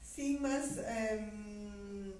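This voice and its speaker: A woman delivers a formal welcome at an embassy reception, stopping often on fillers. Her voice is mid-pitched and reaches the mic from a distance. The room rings with heavy echo. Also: extremely slow, a little mellow. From Ecuador. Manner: hesitant, many fillers. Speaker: embassy representative welcoming guests. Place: embassy hall. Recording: echoey room from far off, noisy.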